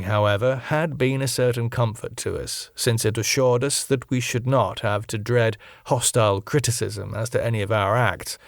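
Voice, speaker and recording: A man reading a classic novel as an audiobook narrator, in a measured, solemn, literary delivery. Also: medium pitch, gravelly, no echo, clean studio sound.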